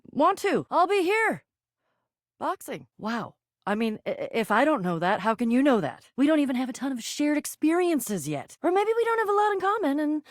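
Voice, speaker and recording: A plucky game adventurer, high-voiced and swooping all over the scale. The delivery is earnest and excitable, grand heroic declarations tangled up with slightly insecure, stumbling asides. The sound is dry and close. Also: female voice, no echo. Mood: hurt, defensive. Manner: dramatic quest-speak mixed with stammering asides. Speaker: video game voice actor. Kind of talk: animated video game character acting.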